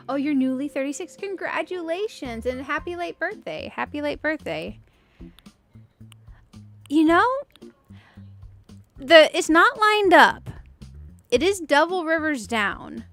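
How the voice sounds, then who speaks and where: A woman's voice: high voice, very animated, takes it slowly, long pauses, a bit bright. A woman in her late thirties with a youthful-sounding voice, chatting casually and giggly as she hosts a video game livestream. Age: late 30s. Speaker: streamer and video game host. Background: music.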